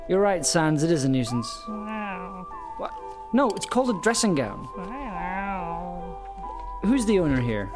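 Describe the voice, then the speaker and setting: An actor playing a put-upon, grumbling young man in an audio drama, conversational and hesitant. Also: fairly high pitch. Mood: exasperated.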